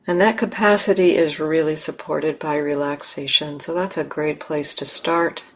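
A woman leading a guided meditation in measured, instructive phrases with long pauses. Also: low voice, fairly even intonation. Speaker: meditation teacher.

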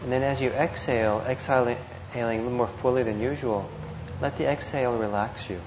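A man teaching meditation, giving guided instructions with gentle, hesitant phrasing and pauses. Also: mid-range voice, steady tone. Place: indoor meditation hall. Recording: muffled, roomy live talk with noise.

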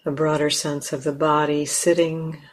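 A woman leading a guided meditation as a teacher, speaking gently and leaving long pauses between short phrases. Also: deep voice, flat delivery.

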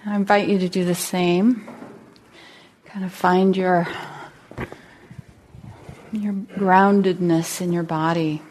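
Slow, mellow woman guiding meditation. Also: on the low side, flat delivery, slight background noise. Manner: gentle, unhurried, with long pauses. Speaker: meditation teacher. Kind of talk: guided meditation.

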